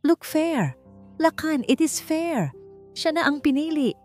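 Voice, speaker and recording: A woman's voice: high voice, very animated, slow, a little mellow, pitched above the performer's own voice, full character voice. Woman voicing an earnest, urging confidant. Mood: insistent. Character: earnest, reassuring confidant of an architecture boss. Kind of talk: acted dialogue in narrated romance story. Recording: music under the voice.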